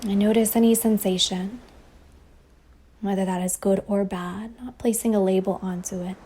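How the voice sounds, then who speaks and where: A woman leading a guided meditation as a teacher, speaking softly and breathily in a soothing way with long pauses, over ocean waves. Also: mid-range voice, steady tone. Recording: faint ambient wave noise behind voice. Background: ocean waves sound effect.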